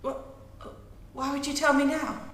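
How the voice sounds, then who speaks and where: Very high-pitched terrified captive woman, acted out by a woman. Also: little pitch movement, a little slow, fairly bright tone. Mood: fearful. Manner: pleading, stammering. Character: terrified young woman journalist held captive, pleading. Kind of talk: radio drama acting. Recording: echoey hall reverb, music behind.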